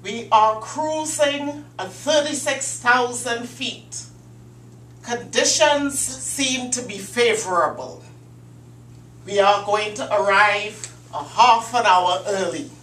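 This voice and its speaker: A woman's voice: a little high, slow pace, fairly bright tone, voice pushed up. A woman voicing a male airline pilot, giving formal cabin announcements in a prepared speech. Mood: calm. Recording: roomy, distant mic, faint hum.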